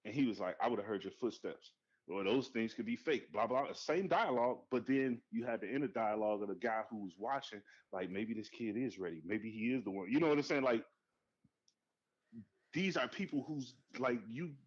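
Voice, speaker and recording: A man co-hosting a podcast in casual movie chat, animated and profane, jumping to high excited outbursts. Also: moderate pace, husky. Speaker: podcast co-host. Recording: dull lo-fi sound.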